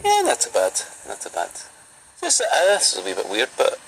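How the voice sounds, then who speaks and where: Teasing Glaswegian man, gravelly voice. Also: mid-range voice, wildly swooping pitch, fairly quick, fairly bright tone, rough recording. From Glasgow, Scotland. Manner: flirty, joking, teasing, mock-serious. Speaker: vlogger. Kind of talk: playful casual chat.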